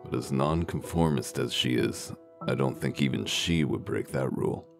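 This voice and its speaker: A man playing a gruff, cynical, humorless wolf detective in a comic dub, with a deadpan, blunt growl. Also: normal speed, over music. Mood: dry, blunt. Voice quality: very deep, gravelly growl. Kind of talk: dramatic comic dub acting.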